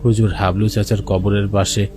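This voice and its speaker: A man's voice: lowish voice, level tone, moderate pace. A man narrating a first-person ghost story for a cartoon in a dramatic way. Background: music under the voice.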